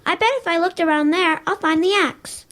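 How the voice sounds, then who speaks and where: A woman's voice: very high voice, fairly even intonation, brisk, a bit bright. A woman playing a timid, worried little schoolgirl in an audio drama, speaking hesitantly and plaintively. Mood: curious, hopeful.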